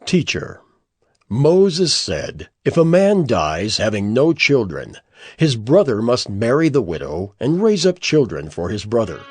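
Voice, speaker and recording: A probing Sadducee with a swooping voice. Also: male voice, mid-range voice, very animated, unhurried, darkish tone, breathy edge, no echo. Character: sly, arguing male Sadducee questioner.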